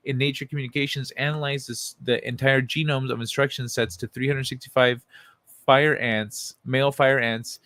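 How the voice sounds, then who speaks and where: A man hosting a livestream in an informal, excitable, sweary way, talking casually and reading things aloud. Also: mid-range voice, no echo. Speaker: livestream host.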